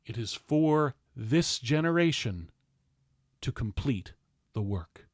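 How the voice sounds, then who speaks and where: Man playing loud, impassioned 19th-century general orating. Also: mid-range voice, lively intonation, slow pace, dry recording, clean studio sound. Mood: solemn. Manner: loud, emphatic, solemn, rising delivery. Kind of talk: declamatory political oratory, formal speech reading.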